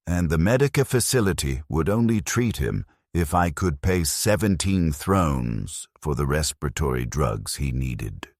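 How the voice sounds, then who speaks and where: A man narrating a dramatic story as a grim, embittered convict soldier, solemn and deliberate in his bleak delivery. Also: extremely low pitch, no room sound, studio quality, heavily acted. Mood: bleak, resigned.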